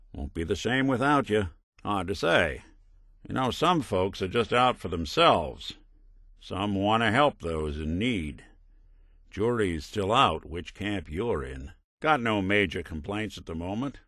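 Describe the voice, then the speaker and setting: An actor playing a world-weary, principled middle-aged synthetic detective in a video game, American, with a wry, laconic, folksy manner. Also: male voice, lowish voice, bright, forceful tone, dry recording, clean studio sound, heavily acted. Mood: disappointed, then resigned, wry. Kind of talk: video game character dialogue, old-time detective manner.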